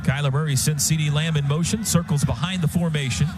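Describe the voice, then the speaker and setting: High-pitched American sportscaster over loud stadium noise. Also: male voice, fast talker. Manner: clipped, vivid phrases that build to excited calls. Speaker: radio play-by-play announcer. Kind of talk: live football play-by-play commentary. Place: stadium radio booth.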